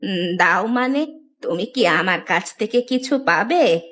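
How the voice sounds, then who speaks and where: A woman voicing a frail, elderly village woman who speaks in a slow, weary, plaintive way in an audio story. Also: medium pitch, animated, fairly bright tone. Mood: wary. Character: frail old village woman, sorrowful and wary.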